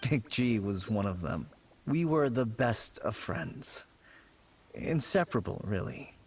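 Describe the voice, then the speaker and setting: An actor playing a gentle, worried man in a video game, speaking in a hesitant, downcast manner. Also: lowish voice, sing-song, unhurried, husky, heavily acted. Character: Meng, worried, guilt-ridden gentle man haunted by dreams. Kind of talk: anime-style video game voice acting. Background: game music.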